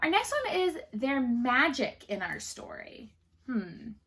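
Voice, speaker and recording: A woman's voice: sits high, big pitch swings, slow pace, a bit bright, slightly rough. A woman teacher reading a story aloud to children, expressively, with chatty questions to the listeners.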